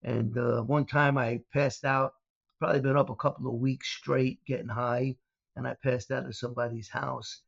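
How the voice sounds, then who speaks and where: A man's voice: mid-range voice, flat delivery, normal speed, creaky, no echo. An older man from Brooklyn, recounting his past in a rambling way as an interview guest. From Brooklyn, New York. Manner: rambling, many uh fillers and restarts. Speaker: guest, former mobster turned speaker. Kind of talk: storytelling interview. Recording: dull, dry lo-fi audio.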